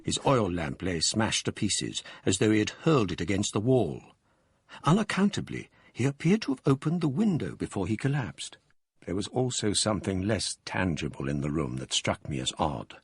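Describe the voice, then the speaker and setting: A man giving grave, measured narration of a period ghost story for radio drama. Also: on the low side, very animated, creaky, slightly rough, dry recording, full character voice.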